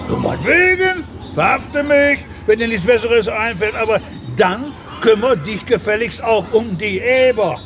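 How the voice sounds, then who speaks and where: An actor playing a hot-tempered, crude middle-aged pig farmer in a radio play, gruff and indignant. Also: male voice, extremely high pitch, lively intonation, unhurried, few pauses, background noise. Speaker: pig farmer suspected of a crime.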